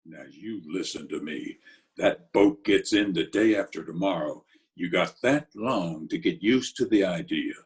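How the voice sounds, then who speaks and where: An actor playing a gruff, commanding gangster boss, blunt and bossy, in old-time radio comedy style. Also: male voice, sits low, lively intonation. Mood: stern. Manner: blunt, bossy, deliberate slangy talk.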